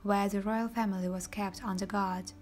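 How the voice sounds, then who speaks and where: A woman giving scripted documentary narration in an even, measured reading voice, recorded as a studio voice-over. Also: medium pitch.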